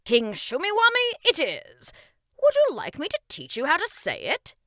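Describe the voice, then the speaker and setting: A woman's voice: high voice, wildly swooping pitch, normal speed, pushed and bright, dry recording, clean studio sound, pitched above the performer's own voice, exaggerated voice. A woman voicing an exuberant, encouraging king in a children's story, exclaiming and coaching enthusiastically. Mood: pleasant, insistent. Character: exuberant, encouraging magical king, a pleasant male teacher.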